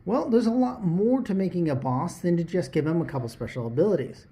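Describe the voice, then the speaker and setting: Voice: medium pitch, lively intonation, fairly quick, mellow. A presenter giving an instructional explainer, talking directly to the listener and posing rhetorical questions. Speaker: tabletop RPG lesson host.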